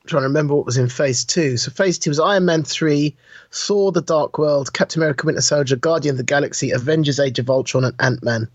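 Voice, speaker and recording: Husky, higher-pitched man chatting casually. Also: fast talker, dry recording, lo-fi sound. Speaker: podcast co-host. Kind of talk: casual film chat.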